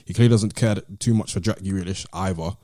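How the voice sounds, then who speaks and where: Fast-talking British man, relaxed mellow tone. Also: low voice, no room sound, clean studio sound. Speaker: podcast host. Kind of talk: casual banter among friends.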